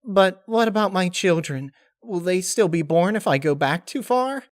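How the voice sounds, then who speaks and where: A man's voice: high-pitched, animated, normal speed, no room sound, studio quality, pitched above the performer's own voice. A man voicing a troubled but determined motherly female pony in a story reading, earnest and pleading. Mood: worried.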